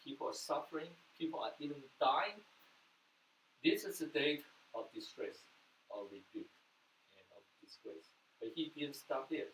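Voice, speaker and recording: Man, earnest sermon, loud bursts and soft drops, roomy echo, faint noise. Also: a little high, animated, normal speed, long pauses. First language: Mandarin. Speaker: pastor. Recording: echoey room, mic at a distance, faint noise.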